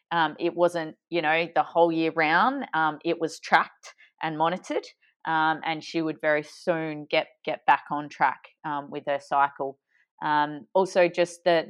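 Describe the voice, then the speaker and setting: A woman with a low, smooth, resonant voice summarizes an interview as a podcast co-host. She speaks thoughtfully and hesitantly, pausing on frequent ums and restarting her phrases.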